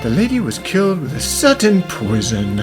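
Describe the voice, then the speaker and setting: A theatrical genius detective played by a man, supremely confident and grand, with a lively, swooping delivery. This is cartoon mystery dubbing, set over music. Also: a little high, normal speed. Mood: grave, confident. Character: theatrical, self-assured male genius detective. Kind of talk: animated mystery fan dub.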